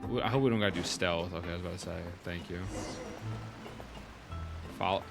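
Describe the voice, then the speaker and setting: Low-pitched young man, gaming. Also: brisk, vocal fry. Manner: slangy, muttering asides. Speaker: streamer reacting to a game. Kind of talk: casual gameplay commentary. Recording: game music under the voice. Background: video game music.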